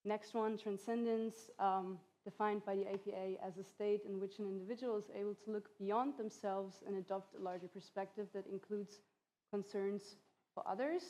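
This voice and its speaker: Woman, 30s, native Hungarian, mellow dark voice, conference lecture, laughs lightly. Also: mid-range voice, level tone, moderate pace. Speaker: conference speaker. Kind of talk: academic conference talk. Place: conference hall.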